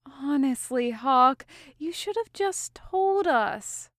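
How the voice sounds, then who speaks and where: Naive elf maiden, female reader in character, sweet, earnest, high and unhurried. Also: animated, fairly bright tone, no room sound, clean studio sound, heavily acted. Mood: exasperated. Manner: earnest, innocent, exasperated sighing.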